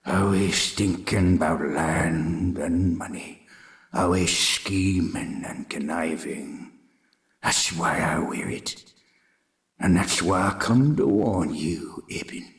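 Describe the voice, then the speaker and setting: Man voicing a slow, low, grave cowboy ghost. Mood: grave, warning. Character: ghost of dead male partner, burdened, grave, warning. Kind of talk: radio play acting.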